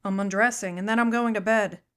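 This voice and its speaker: Brief: a woman reading a cocky, laid-back guy, deadpan and breezy, light voice. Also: medium pitch, brisk, smooth, close to an everyday voice. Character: cocky, laid-back young man, scruffy and unbothered.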